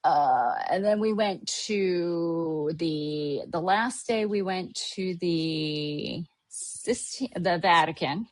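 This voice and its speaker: A woman's voice: on the low side, extremely slow, no echo. Chatty middle-aged woman from Hawaii. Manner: chatty, rambling with many fillers and restarts. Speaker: podcast host. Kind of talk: casual chat recapping a trip.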